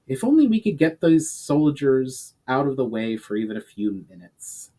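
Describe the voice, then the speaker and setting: A man's voice: medium pitch, sing-song, moderate pace, mellow. A man voicing an earnest mage in a storybook reading, explaining things in a careful, formal manner. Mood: careful, serious. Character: earnest male mage explaining magical limits.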